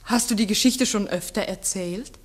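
An actress playing an earnest, strict village schoolteacher in a 1960s radio drama, with a measured, precise, slightly husky voice. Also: female voice, medium pitch, close to an everyday voice. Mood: probing, gently stern. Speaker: actor and first-person narrator. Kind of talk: 1960s German radio drama acting and narration.

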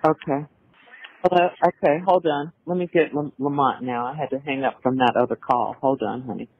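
A woman with a husky voice in a personal phone conversation, encouraging in a halting way. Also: very deep voice, fairly even intonation. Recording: tinny phone line.

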